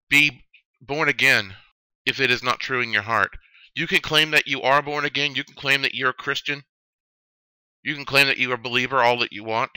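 A man's voice: mid-range voice, bright, forceful tone, clear, resonant tone, no echo, rough recording. Earnest man in his thirties testifying. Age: 30s. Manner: solemn, drawn-out preaching cadence with sudden stresses. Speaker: video testimony speaker. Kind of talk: earnest religious testimony monologue.

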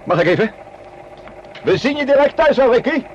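A man playing a brisk, commanding detective in a Dutch radio play, urgent and authoritative, calm under pressure. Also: very high voice. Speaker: radio drama actor. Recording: dull, noisy old radio recording.

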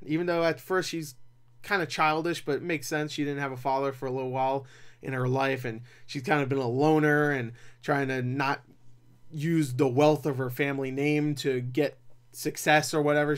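Youngish guy doing a casual movie review, kinda high voice, rambly and opinionated. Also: fairly even intonation, a little fast, clear, resonant tone. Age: young adult. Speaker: film reviewer. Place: small cluttered room at home.

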